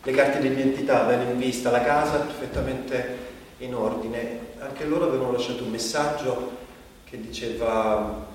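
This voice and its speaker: A man acting as a reflective narrator in a bleak stage play, speaking conversationally in halting, broken phrases with pauses. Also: medium pitch, fairly even intonation, a little hiss or hum, lightly acted. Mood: grave. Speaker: stage actor. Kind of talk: theatrical monologue, conversational storytelling. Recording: echoey hall, distant mic, music behind.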